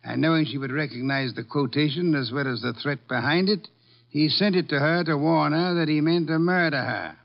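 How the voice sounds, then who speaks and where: An actor playing a pompous, imperious detective boss with precise, ornate speech in a 1950s radio drama. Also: male voice, fairly high pitch, brisk, gravelly. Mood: stern, commanding. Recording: dull lo-fi 1950s radio recording.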